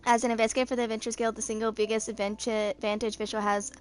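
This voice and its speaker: A woman's voice: on the high side, level tone, racing, soft background music. A woman in her twenties reading video game character lore aloud, stumbling over some words as she goes. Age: 20s.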